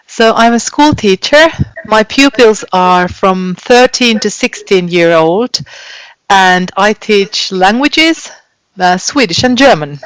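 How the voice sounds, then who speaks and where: A woman's voice: mid-range voice, animated, slow, smooth, no echo, low-quality recording. A woman with a Finnish accent, a school teacher whose first language is Finnish, speaking from her home kitchen over a video call. She explains things patiently and fills her sentences with "like" and small restarts. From Finland.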